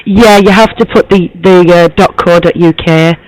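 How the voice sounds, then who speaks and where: A man putting on the voice of a young woman magazine journalist, hoarse, rambling with lots of fillers on a prank call. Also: high-pitched, steady tone, normal speed, no echo, close to an everyday voice, rough recording. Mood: friendly, chatty. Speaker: prank caller posing as interviewer.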